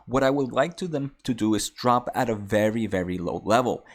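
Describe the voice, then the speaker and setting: A man's voice: mid-range voice, brisk. A man hosting an investing video, explaining things informally and step by step as commentary for viewers. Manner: informal, walks through numbered questions step by step. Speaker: host of a stock investing video channel.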